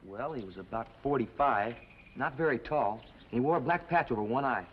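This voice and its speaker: Man playing an earnest, fast-talking, lively young fellow. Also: mid-range voice, natural voice. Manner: plain-spoken, polite, earnest. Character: earnest, modest, polite young nephew, brave youth. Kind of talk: 1950s television western acting. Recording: muffled, noisy old TV western soundtrack.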